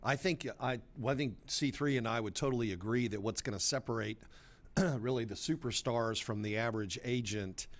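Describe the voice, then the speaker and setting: A man hosting a podcast interview, relaxed, sometimes clearing his throat and restarting his sentences. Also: medium pitch, normal speed. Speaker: podcast host.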